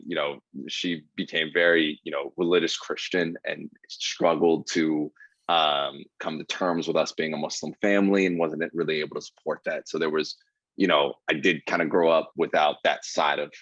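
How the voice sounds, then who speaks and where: Deep-voiced young man telling his story. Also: a bit bright, no echo, muffled recording. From Ohio, USA. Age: 20s. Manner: earnest storytelling with many fillers. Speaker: guest comedian. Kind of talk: casual podcast interview.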